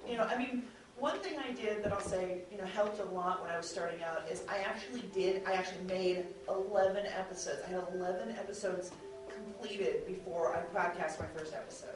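A woman speaking as a podcast producer on a panel, chatting unscripted to an audience in an animated way. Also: medium pitch, fairly quick. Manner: chatty, animatedly mimics others in quoted speech. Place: convention panel room with an audience. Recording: very echoey room, distant mic, faint noise.

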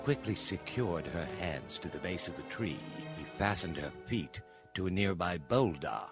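A man's voice: deep voice, expressive, normal speed. A man narrating a radio play as a dramatic, scripted story. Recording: music behind the voice.

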